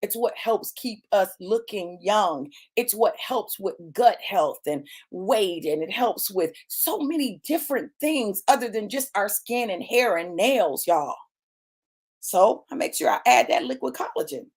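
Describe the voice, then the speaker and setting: A woman's voice: medium pitch, animated, unhurried, darkish tone, slightly rough. A woman in her late fifties delivering a motivational sermon, emphatic and repetitive, addressing listeners as y'all and baby. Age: 50s. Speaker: preacher.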